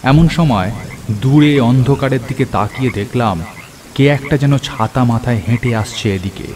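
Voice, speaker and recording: A man playing an earnest, excitable friend who tells a cartoon horror story in the first person, in an expressive, theatrical way. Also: mid-range voice, normal speed, close to an everyday voice. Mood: atmospheric, pensive. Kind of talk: dramatic scripted first-person horror narration. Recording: clear rain and thunder over voice. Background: rain and thunder effects.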